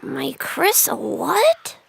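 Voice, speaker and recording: A woman's voice: high voice, wildly swooping pitch, drawn-out words, creaky, no room sound, heavily acted. A woman voicing a tiny, timid young male caterpillar in a children's audiobook, with a small, hesitant, childlike voice. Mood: puzzled. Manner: hesitant, wide-eyed questioning. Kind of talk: children's audiobook character acting.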